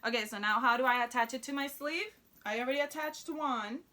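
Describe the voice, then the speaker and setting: A woman's voice: high-pitched, fairly quick, bright, forceful tone. A woman whose first language is Spanish, chatting upbeat through a sewing tutorial and narrating each step as she works at the machine. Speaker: sewing instructor.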